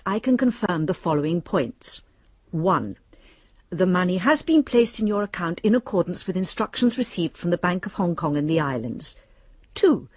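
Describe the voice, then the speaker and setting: An actress playing a courteous, officious British bank lending advisor reading formal letters in a radio drama. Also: female voice, on the low side, darkish tone, husky, no echo, dull audio.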